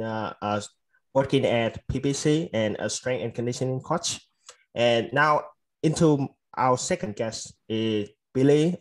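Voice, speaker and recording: A man hosting a podcast interview, speaking haltingly with many fillers. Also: medium pitch. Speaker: podcast host.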